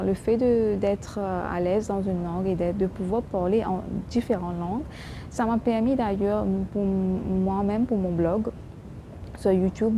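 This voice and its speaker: Woman vlogger, non-native French with a strong accent, mid pitch, halting delivery; noisy take with a low rumble. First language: English. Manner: halting, many restarts and fillers. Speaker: YouTube vlogger. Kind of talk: casual talk to camera.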